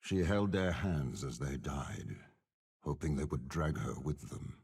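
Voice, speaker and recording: An actor playing a commanding, cold, cunning older warlord with demonic power, speaking in slow, measured, eloquent game voice lines with a gravelly rasp. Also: male voice, a bass voice, lively intonation, a little mellow, exaggerated voice. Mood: cold, ominous. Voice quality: gravelly, raspy. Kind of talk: dramatic game character voice lines.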